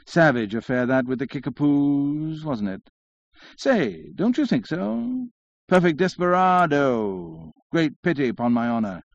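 A man's voice: fairly low pitch, moderate pace, darkish tone, no room sound, muffled recording, close to an everyday voice. Guy doing a affected posh-society gent for an audiobook, draggy drawl, evasive and self-important, dry close sound. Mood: affected, knowing. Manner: drawls out his syllables, affected.

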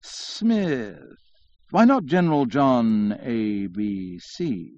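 A man's voice: lowish voice, normal speed, darkish tone, dry recording, muffled recording, natural voice. Guy doing a affected posh-society gent for an audiobook, draggy drawl, evasive and self-important, dry close sound. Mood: affected, knowing. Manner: drawls out his syllables, affected.

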